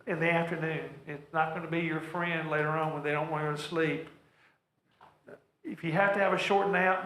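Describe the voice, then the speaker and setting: Earnest male presenter, slightly high voice, roomy echoing hall, workshop delivery. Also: a bit bright. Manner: earnest, repeats words when he stumbles. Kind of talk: practical talk to a church audience. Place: church hall.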